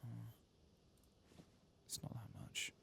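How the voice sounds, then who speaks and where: A man softly speaking and whispering ASMR game commentary, muttering to himself as he goes. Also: takes it slowly, a little hiss or hum. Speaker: host of an ASMR game playthrough.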